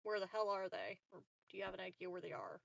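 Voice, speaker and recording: A man's voice: sits high, sing-song, quick pace, creaky, fairly bright tone, no room sound. A man chatting casually as a player in a tabletop game, hesitant, joking and sweary.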